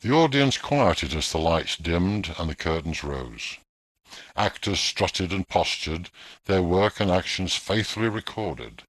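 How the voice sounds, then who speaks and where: A man tells a scripted ghost story with theatrical suspense. His delivery is measured and very varied in pitch, recorded dry and close. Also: sing-song, fairly bright tone, no echo, rough recording.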